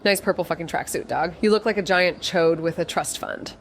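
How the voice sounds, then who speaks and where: A woman's voice: fairly low pitch, a little fast, a little hiss or hum, lightly acted. An actress playing a fierce, sarcastic young detective in a noir audio drama, blunt and rambling as she tells her story. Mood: angry, confrontational. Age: young adult. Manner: sardonic, blunt, rambling, self-interrupting. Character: Amy, fierce sarcastic foul-mouthed young female detective. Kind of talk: first-person noir audio drama narration.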